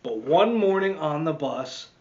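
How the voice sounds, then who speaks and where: Animated, slow-paced man reading a storybook. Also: on the high side, highs cut off. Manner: expressive, dramatic swings and deliberate pauses. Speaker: teacher reading a picture book aloud. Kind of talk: animated children's storytime read-aloud.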